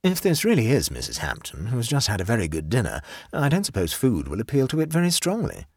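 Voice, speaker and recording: A man's voice: medium pitch, huge pitch swings, fast talker, a little mellow, husky, dry recording, voice pushed up, exaggerated voice. A man voicing a contemptuous, drily polite society wit in a storybook, with sardonic delivery. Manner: dry, sardonic.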